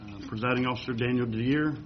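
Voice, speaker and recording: A man's voice: mid-range voice, fast, slight background noise. A man reading agenda items and a roll call at a meeting in a matter-of-fact way. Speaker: committee clerk reading the agenda. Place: meeting room. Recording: dull lo-fi meeting recording.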